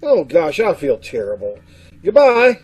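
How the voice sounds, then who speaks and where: A man's voice: fairly high pitch, wildly swooping pitch, measured pace, darkish tone, husky, full character voice. A man playing a distraught, fretful young fellow in an old-time radio mystery. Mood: anxious, distraught. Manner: fretful, melodramatic.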